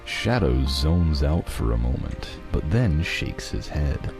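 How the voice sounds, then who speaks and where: A man narrates a scripted fan fiction story in a low voice. His intonation is highly animated, and music plays clearly behind him. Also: slow pace.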